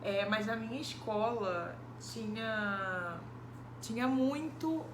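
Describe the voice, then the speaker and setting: A woman's voice: a little high, takes it slowly. A woman, answering interview questions thoughtfully, with many hesitant fillers. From Brazil. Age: 20s. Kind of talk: casual recorded interview. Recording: echoey room with background noise.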